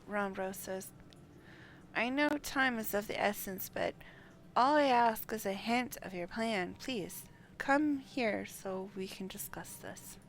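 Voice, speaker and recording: A woman's voice: mid-range voice, slow, slight background noise, poor audio. A woman livestreaming a game, chatting and reading dialogue aloud, sometimes stumbling over names. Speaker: game streamer.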